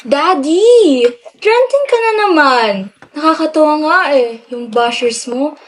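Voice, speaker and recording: Woman acting high-pitched teasing daughter, sassy, brash, radio drama. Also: expressive, measured pace. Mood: teasing, excited. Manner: sassy, code-switching with English. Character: brash, teasing, social-media-obsessed young daughter.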